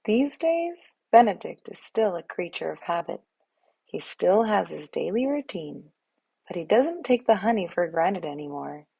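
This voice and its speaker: Slow, husky woman reading a storybook. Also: lowish voice, very animated, mellow, no echo. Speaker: read-aloud host, storyteller. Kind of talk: expressive children's picture book reading.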